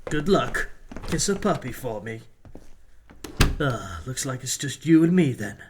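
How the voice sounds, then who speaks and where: A man's voice: mid-range voice, expressive, unhurried, noisy background, lightly acted. A man playing an arrogant, sardonic, brainy dealer in a comic audio drama, speaking in a theatrical, pompous way.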